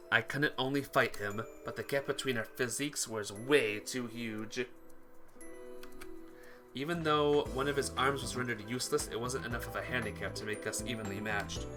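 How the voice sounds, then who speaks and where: A man's voice: medium pitch, moderate pace, sharp tone. A man hosting a game playthrough, reading visual novel narration aloud dramatically and stumbling over some words. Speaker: gaming playthrough host. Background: game music.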